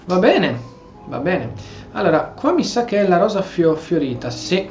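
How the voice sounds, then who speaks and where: A man's voice: sits high, big pitch swings, moderate pace, darkish tone. An Italian man casually commentating on a video game as he plays, chatting and reading lines aloud. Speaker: gameplay streamer. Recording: roomy, dull mic over game music. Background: game audio and music.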